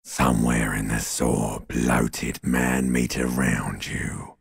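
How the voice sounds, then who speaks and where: A man voicing an ancient reptilian brain in a video game, a seductive, menacing, world-weary inner voice with a guttural rasp and sardonic crooning. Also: extremely low pitch, flat delivery, faint music behind, heavily acted. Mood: brooding, sinister. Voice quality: gravelly, raspy, guttural croak. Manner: slow, drawn-out, mock-soothing, sardonic crooning. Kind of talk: theatrical video game voice acting.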